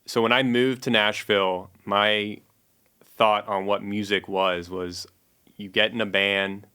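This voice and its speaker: Deep, flat-voiced man telling stories. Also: unhurried, smooth. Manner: relaxed storytelling with fillers. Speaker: guest musician and producer. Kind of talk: casual interview chat.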